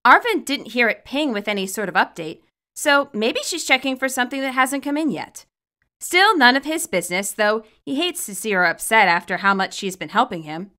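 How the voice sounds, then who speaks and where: A woman reading her own fan fiction aloud as expressive, scripted story narration. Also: a little high, normal speed.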